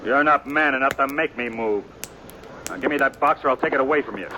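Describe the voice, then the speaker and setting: An actor playing a jealous, threatening young frontier bully in a 1950s radio drama. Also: male voice, medium pitch, fairly quick, lightly acted. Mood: belligerent. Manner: sullen, threatening, rough grammar. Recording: hissy old radio broadcast.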